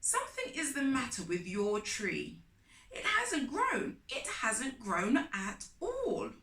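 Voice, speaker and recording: A woman's voice: fairly high pitch, huge pitch swings, slow, bright, forceful tone, pitched above the performer's own voice, exaggerated voice. A woman voicing a kindly, puzzled father in a children's storybook. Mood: puzzled, concerned. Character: kindly, puzzled father talking to his young son. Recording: roomy echo, distant microphone.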